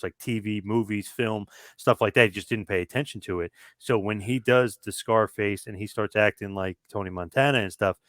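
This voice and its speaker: A man with a fairly low voice tells a long story on a podcast in an easy conversational way. The recording is dry and close. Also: a bit bright, no echo. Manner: storytelling, quoting others in asides. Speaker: podcast co-host. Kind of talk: casual podcast chat.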